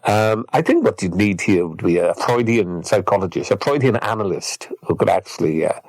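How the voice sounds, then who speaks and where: Hesitant radio host, low husky voice. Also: male voice, lively intonation, normal speed, darkish tone, low-quality recording. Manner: hesitant, polite, dry asides. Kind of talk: comedy phone-in.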